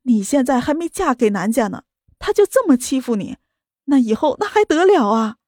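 A woman voicing an indignant middle-aged mother who scolds insistently in a raised, high voice. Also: very animated, normal speed, darkish tone, dry recording, studio quality. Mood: indignant scolding.

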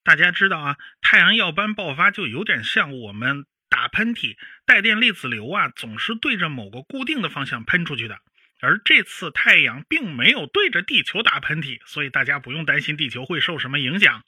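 Radio drama expert: didactic professor, highish voice, swooping emphasis, dry close recording. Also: male voice, very animated, a little fast, bright, forceful tone, slightly rough, dry recording, full character voice. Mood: explanatory, emphatic. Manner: didactic, emphatic, with homely particles. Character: animated, explanatory male professor of spaceflight. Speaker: spacecraft chief designer, expert guest.

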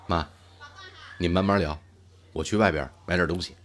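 Low, reserved young working man, actor-played. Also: fairly even intonation, moderate pace, natural voice. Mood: casual. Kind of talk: Chinese audio drama dialogue.

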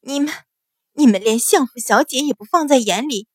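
A woman's voice: high-pitched, normal speed, husky, no echo, clean studio sound. A woman voicing a loyal young maidservant in an audiobook, frightened yet defiant and indignant. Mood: angry, trembling. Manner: indignant, trembling with anger.

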